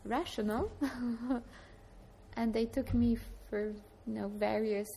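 Polish woman, kinda british-sounding accent, higher voice, rambly and thoughtful, train station noise behind. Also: fairly even intonation, slow, creaky, mellow. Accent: Polish, with a British tinge. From Poland. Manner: thoughtful, rambling with fillers and restarts. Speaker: guest. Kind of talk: casual chat. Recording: noisy train station ambience.

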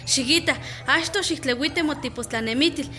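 A woman's voice: sits high, moderate pace, pushed and bright, faint music behind. An actress in a radio drama playing Esperanza, an earnest pregnant village woman. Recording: busy crowd noise behind.

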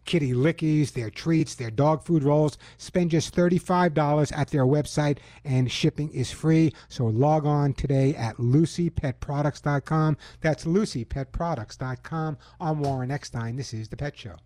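A man's voice: fairly high pitch, moderate pace, hardly any pauses, warm tone, no echo. A man hosting a call-in pet radio show in a studio, giving emphatic, self-assured advice and reading ads. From Long Island, New York. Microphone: studio microphone.

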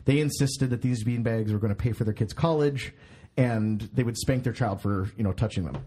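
Husky rambling podcast host, a man. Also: medium pitch, a little fast, dark tone. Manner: rambling, swears, laughs at himself. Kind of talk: casual chat.

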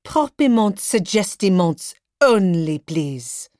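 A woman's voice: mid-range voice, sing-song, slow, a little mellow, no echo, clean studio sound. A woman acting a vain, pompous art teacher in a children's audiobook, theatrical and self-important, with grandly mangled words. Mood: affronted.

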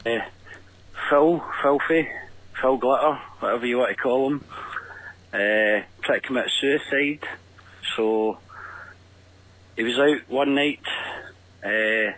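A Scottish man delivers a casual story as a show caller. His pace is slow and deliberate, his manner gruff and foul-mouthed, and the sound is dry, with no room around him. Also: mid-range voice, a bit bright, dry recording, poor audio. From Scotland. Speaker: caller leaving a voice message. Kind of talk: casual anecdote telling.

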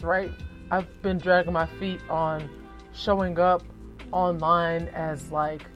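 A deep, low-pitched woman in her thirties talks straight to her listeners as a podcast host. Her delivery is candid and conversational, peppered with profanity and quick rhetorical check-ins. Faint music sits underneath her voice. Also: fairly bright tone. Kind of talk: casual solo podcast monologue.

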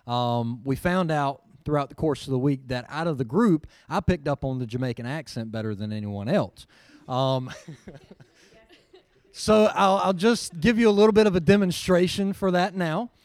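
A man's voice: a little high, normal speed, darkish tone, dry recording. A man giving an earnest personal testimony to a church audience, with plenty of ums along the way. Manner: earnest, storytelling, with frequent fillers. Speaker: mission team member giving a report.

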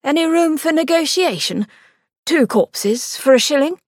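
A woman voicing a smug, sharp-tongued young male doctor who teases and scolds his sister. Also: high-pitched, lively intonation, a little slow, no room sound, studio quality, voice pushed up. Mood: teasing. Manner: teasing, exasperated.

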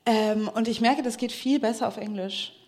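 A woman in a casual conversation, often pausing with fillers and restarting her sentences. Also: fairly high pitch, steady tone, darkish tone. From Germany. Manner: hesitant, many fillers and restarts.

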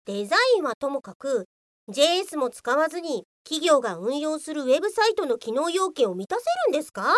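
A woman playing a blunt, scolding software policewoman in a comedic radio drama. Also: sits high, sing-song, brisk, no echo. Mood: skeptical scolding. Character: feisty Office police woman, scolding, exasperated straight-talker. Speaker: voice actor.

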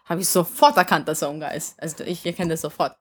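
A woman's voice: low-pitched, steady tone, fast, slightly rough. A young woman raised in Vienna with Hungarian as her first language, speaking German with a Hungarian-Viennese accent. She is a band singer telling stories in a casual interview, with many fillers and easy laughs. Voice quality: chatty storyteller, many fillers, laughs easily.

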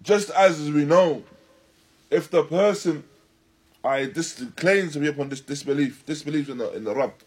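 A man teaching in a religious lecture, deliberate and emphatic, repeating and rephrasing his phrases as he explains a text. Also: a little high, darkish tone, breathy edge. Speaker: religious teacher giving a lesson. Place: Islamic centre, live stream.

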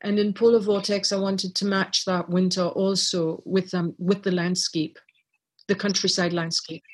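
A middle-aged woman from Trinidad, a writer and artist, talking reflectively in an interview with many pauses and restarts. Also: medium pitch, level tone, rough recording. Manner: thoughtful, many fillers and restarts.

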